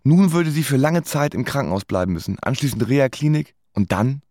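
Sardonic male band musician narrating a radio play, played by an actor; dry close sound, lively intonation. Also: medium pitch, a little fast, no echo, natural voice. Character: dance-band musician recounting his bleak life drily. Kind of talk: scripted first-person radio play narration.

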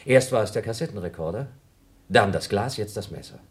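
A man's voice: on the low side, animated, a little fast, lightly acted. An actor playing a younger astronaut in a German radio drama, arguing with cold, pedantic logic and accusing his rival. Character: younger male astronaut, cunning, argumentative, coldly accusing rival.

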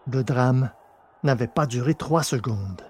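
Slightly husky male audiobook narrator. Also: mid-range voice, a little slow, a little mellow, dry recording. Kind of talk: scripted dramatic narration of a science-fiction adventure.

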